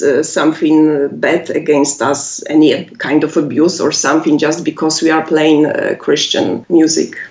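A woman with a Polish accent, a native Polish speaker, answering interview questions in halting English with many pauses and laughs. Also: mid-range voice, darkish tone. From Poland. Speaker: musician guest. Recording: echoey, muffled lo-fi audio.

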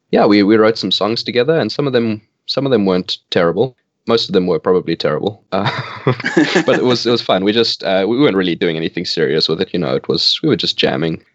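Man, 20s, Afrikaans native speaker from South Africa; very low voice, relaxed and laughing, dry muffled recording. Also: no echo. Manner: relaxed, laughs often, hesitant restarts. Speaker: band bassist. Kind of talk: casual interview chat.

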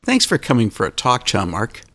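A male radio host giving a scripted show introduction and asking interview questions in a measured, articulate way. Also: mid-range voice, huge pitch swings, fairly bright tone, no room sound, clean studio sound.

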